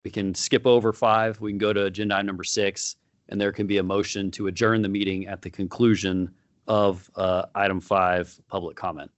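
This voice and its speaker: A man's voice: fairly low pitch, flat delivery, no echo, dull audio. A man chairing a board meeting, speaking informally with frequent fillers and restarts. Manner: informal, halting, with many fillers.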